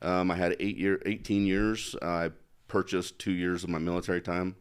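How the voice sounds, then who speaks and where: A man's voice: low voice, flat delivery, normal speed, clear, resonant tone. A middle-aged man from Utah, a candidate for office, talking bluntly in a casual interview with many fillers. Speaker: congressional candidate.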